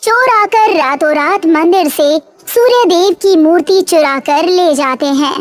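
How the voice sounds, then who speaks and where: A woman's voice: extremely high pitch, unhurried. A woman narrating a scripted moral story for children.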